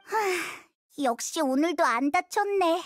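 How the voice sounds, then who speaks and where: A woman's voice: extremely high pitch, expressive, slow, no room sound, full character voice. A woman voicing a small childlike fairy companion in a video game, earnest, inquisitive and blunt. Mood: relieved.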